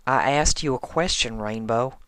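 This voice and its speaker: A performer voicing a plain-spoken country farm mare pony in a fan story, blunt, folksy and calm. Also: medium pitch, normal speed, no room sound, lightly acted. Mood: stern, probing.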